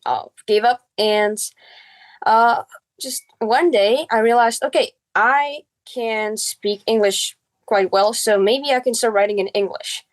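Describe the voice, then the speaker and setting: A woman's voice: a little high, slow, low-quality recording. A girl in her early teens, a native Czech speaker with a Czech accent, telling her story casually as a podcast guest. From Czech Republic.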